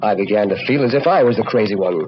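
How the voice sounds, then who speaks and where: An actor playing a grave, troubled doctor, speaking with solemn deliberation in an old-time radio drama. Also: male voice, lowish voice, fast, lightly acted. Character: grave, troubled doctor haunted by a weird experience. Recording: dull old radio recording with clear noise.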